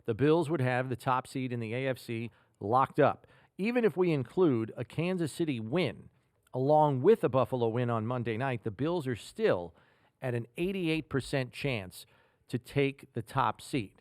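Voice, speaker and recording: A man's voice: mid-range voice, expressive, normal speed, darkish tone, dry recording. An American man hosts a sports podcast with a mid-range voice. He sets up questions and lays out the scenarios, recorded dry with no room sound. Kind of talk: sports talk, questions and analysis.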